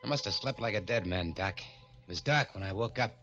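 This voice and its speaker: Haunted escaped killer, american, stammery and slangy, old muffled radio drama w music behind. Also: male voice, fairly low pitch, a little fast, a bit bright. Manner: hesitant, stammering, colloquial. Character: nervy escaped killer on the run, evasive, haunted. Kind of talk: 1940s radio acting.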